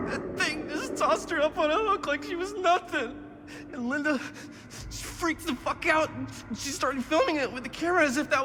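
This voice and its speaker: A high-voiced, tearful wounded teenage boy. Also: background noise. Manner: halting, anguished. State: crying, in pain. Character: shaken, wounded teenager recounting horror through tears. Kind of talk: cinematic horror game acting. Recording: long reverberant space, music behind.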